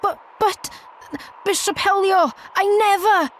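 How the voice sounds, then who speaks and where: A woman's voice: very high voice, slow, a bit bright, a little hiss or hum, exaggerated voice. An actress playing a meek, devout young woman on trial in a video game, faltering and pleading. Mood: frightened protest. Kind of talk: dramatic video game acting.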